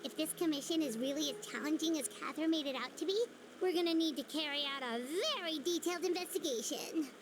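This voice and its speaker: A woman voicing a tiny, excitable, childlike fairy companion girl in a video game, squeaky, perky and curious. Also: fast talker, noisy background, natural voice. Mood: excited. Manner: perky, exclaiming, refers to self in third person. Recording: music playing behind the voice.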